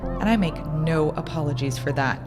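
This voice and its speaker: A woman's voice: low voice, normal speed, natural voice. An actress playing a cold, imperious woman scientist in an audio drama, speaking in a condescending, clipped and precise way. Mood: proud, insistent. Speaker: researcher, doctor. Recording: dramatic music behind the voice.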